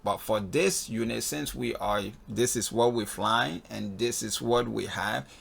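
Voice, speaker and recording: A man with a gravelly, husky voice giving a casual step-by-step spoken walkthrough as an instructor, often checking in with "okay.". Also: mid-range voice, unhurried, fairly bright tone, slight background noise. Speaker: flight instructor in a tutorial video. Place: voice-over on a screen recording.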